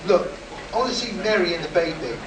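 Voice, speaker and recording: A man plays a test pilot stretched to breaking in a sci-fi radio drama. The voice is high and leaps about, heard in an echoey room over clear noise. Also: animated, quick pace, a crowd in the background. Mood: shaken, drained. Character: Steve, strained, frightened test pilot close to breaking. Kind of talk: live sci-fi radio play acting. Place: live convention performance, recorded from the room. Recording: echoey, distant, noisy room recording.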